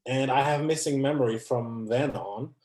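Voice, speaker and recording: A man in his thirties speaks English as a second language, picking his words with pauses, fillers and restarts. His voice sits at an ordinary middle pitch, and it carries a noticeable echo from the room around him. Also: a little mellow. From France. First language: not English. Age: 30s. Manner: hesitant, many restarts and fillers. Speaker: guest. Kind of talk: conversational interview.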